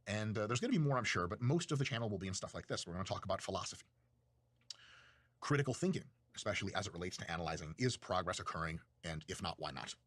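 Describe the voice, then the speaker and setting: A man's voice: sits low, animated, fast, a bit bright, dry recording. A man from Russia, giving a casual, rambling talk on philosophy in a profane, self-mocking way. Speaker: video creator. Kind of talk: casual monologue lecture.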